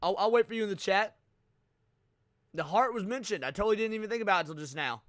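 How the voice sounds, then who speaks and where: Man, high smooth voice, livestream reaction commentary, casual, slangy, swearing, dry close recording. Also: lively intonation, quick pace, fairly bright tone, dry recording. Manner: profane, slangy. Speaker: livestream host. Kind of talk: casual live reaction commentary to horror series.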